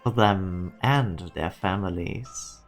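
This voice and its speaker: Slow, speechifying ambitious businessman played by a man. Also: sits low, faint music behind. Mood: wistful, firm. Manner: deliberate, speechifying. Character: ambitious, self-made businessman, proud of progress. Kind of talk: scripted fan-dub game drama monologue.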